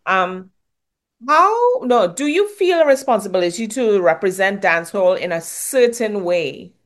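A woman with a Trinidadian accent hosting a casual interview, chatty and full of fillers and quick affirmations. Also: mid-range voice, big pitch swings.

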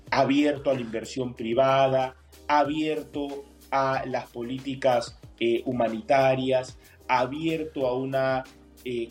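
A man from Peru giving measured political analysis as an interview guest, with many hesitations. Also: medium pitch, darkish tone. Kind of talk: interview on air.

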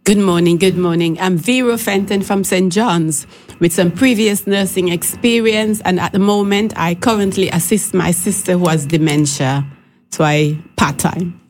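Woman, slightly low voice. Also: moderate pace, darkish tone. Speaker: caregiver, radio guest. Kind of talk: informal talk on live radio. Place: radio studio. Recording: faint background noise.

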